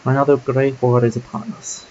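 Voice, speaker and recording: A man's voice: medium pitch, little pitch movement, moderate pace, a little mellow, lo-fi sound, slight background noise. A man streaming a game, musing aloud casually about his choices as he plays. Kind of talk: let's play commentary.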